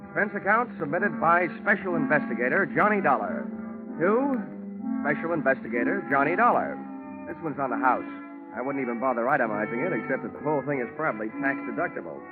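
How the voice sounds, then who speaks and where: An American man playing a cynical, wisecracking insurance investigator in 1940s radio drama style, with wry deadpan punchlines. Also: medium pitch, fairly quick, lightly acted. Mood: wry narrating. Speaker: radio drama lead and narrator. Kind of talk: 1940s radio detective acting. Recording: muffled, band-limited old radio recording with music.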